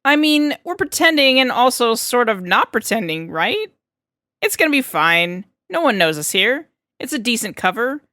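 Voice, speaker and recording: Woman acting as male scientist, talkative, enthusiastic, lifted pitch, chatty audiobook dialogue. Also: moderate pace, no room sound, clean studio sound. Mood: breezy, reassuring. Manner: animated, chatty, explanatory. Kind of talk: fan fiction audiobook character reading.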